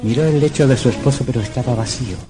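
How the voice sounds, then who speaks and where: An actor playing a sinister storyteller, narrating an old radio horror drama in a theatrical, literary style. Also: male voice, mid-range voice, rapid-fire, over music, close to an everyday voice. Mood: ominous.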